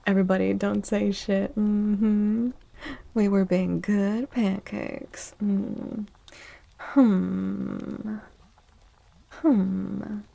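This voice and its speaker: Soft-spoken, slow woman streamer, slightly creaky. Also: medium pitch, flat delivery. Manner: playful, teasing, mock-dramatic. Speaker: livestreamer doing tarot readings for chat. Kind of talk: soft-spoken ASMR with playful chat.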